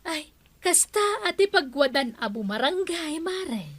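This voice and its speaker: Gossipy neighbour, fairly high voice. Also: female voice, expressive, unhurried, a bit bright, no room sound. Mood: teasing, gossipy. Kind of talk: comic radio drama acting.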